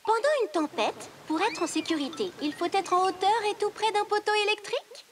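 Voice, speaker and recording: A woman playing a bubbly female quiz host in a children's cartoon dub, announcing in an animated, clear presenter manner. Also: very high-pitched, a little fast, recorded from a distance, background noise. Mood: upbeat, announcing. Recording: music under the voice.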